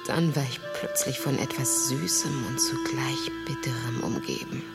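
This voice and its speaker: An actress playing a dreamy, haunted young gentlewoman in a radio play, speaking in a hushed way. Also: very deep voice, normal speed, creaky, loud music behind the voice. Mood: entranced, dreamy. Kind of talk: German radio play acting.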